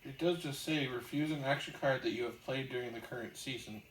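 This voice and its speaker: A man's voice: mid-range voice, a little fast. A man hosting a board game show, patiently explaining the rules step by step as he plays, with frequent ums. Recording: roomy room, mic at a distance, faint hiss.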